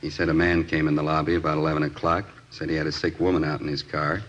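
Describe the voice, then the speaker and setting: An actor playing a matter-of-fact hospital doctor in a 1950s American radio drama, speaking in clipped phrases. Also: male voice, a bass voice, little pitch movement, quick pace. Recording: dull old radio recording.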